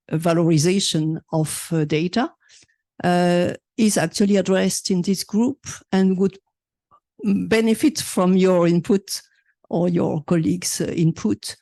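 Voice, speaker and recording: A woman giving an unscripted address at a conference session, halting and searching for words as she asks for input. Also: on the low side, fairly even intonation, warm tone, no room sound, studio quality. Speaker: session presenter asking for input.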